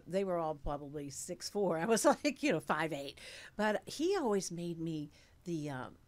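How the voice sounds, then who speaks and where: A woman in her late sixties, an actress, chatting animatedly in an interview at a studio mic, with many fillers. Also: on the low side. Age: 60s. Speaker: actress guest. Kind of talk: casual interview chat. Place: studio with dark curtains and blue lights. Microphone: studio mic on a stand.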